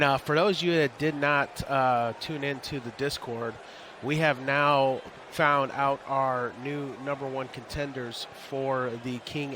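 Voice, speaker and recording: A man with a slightly hoarse, congested voice, casually hosting a livestream of a wrestling game league. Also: medium pitch, background noise. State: hoarse, getting over a cold.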